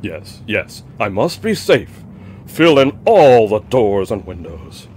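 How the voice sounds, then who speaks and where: A man's voice: on the low side, sing-song, slow pace, darkish tone, a little hiss or hum. A man voicing a paranoid middle-aged king who swings between frantic cries and anxious muttering.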